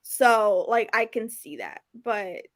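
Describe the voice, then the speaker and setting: High bright girly-pitched woman, dry close sound, chatty book cohost, repeats herself for emphasis. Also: measured pace, dry recording. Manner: animated, repeats phrases for emphasis; addresses viewers as "y'all". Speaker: book discussion co-host. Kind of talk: casual chat. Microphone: livestream video call.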